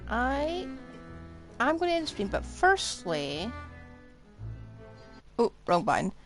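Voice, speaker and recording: A woman's voice: fairly high pitch, very animated, slow pace, soft background music. A woman livestreaming a game, chatting casually and thinking aloud as she rambles along.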